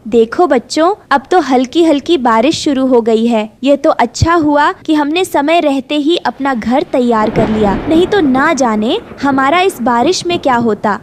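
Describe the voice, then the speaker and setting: A woman's voice: sits high, lively intonation, measured pace, few pauses, a little hiss or hum. A woman voicing a kind, hardworking mother bird in a cartoon fable, earnest and motherly. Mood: pleased. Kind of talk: animated fable dialogue.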